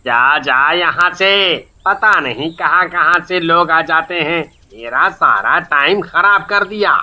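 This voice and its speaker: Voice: medium pitch, expressive, slow pace, bright, forceful tone, exaggerated voice. A performer voicing a rude male medicine-shop keeper in a moral story, dismissive and irritated. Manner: curt, irritated, drawling.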